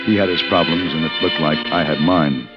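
An actor playing a middle-aged, courteous but hard gunman in a 1950s radio western, speaking in a measured, terse way. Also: rumbling low. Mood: reflective. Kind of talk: 1950s radio western acting and first-person narration. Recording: dull vintage radio recording with music.

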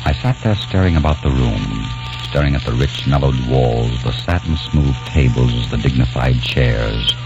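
A dreamy young American. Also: male voice, a bass voice, animated, moderate pace, music in the background. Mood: wondering. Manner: earnest, wondering narration. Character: young American man, dreamy, obsessed with the past. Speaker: lead actor and narrator. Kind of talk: 1940s radio drama acting. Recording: dull old radio recording.

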